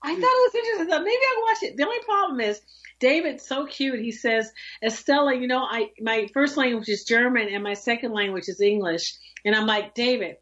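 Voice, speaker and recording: A woman hosting a radio talk show, chatting in a lively, animated way with many restarts. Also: on the high side, fairly quick, slightly rough. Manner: lively, animated, laughs easily, restarts often. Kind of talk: casual radio chat. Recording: dull lo-fi sound.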